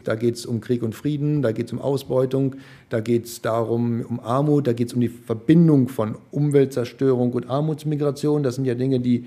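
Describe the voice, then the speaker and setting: A man's voice: mid-range voice, steady tone, moderate pace, warm tone. A man giving spontaneous commentary as an interviewee.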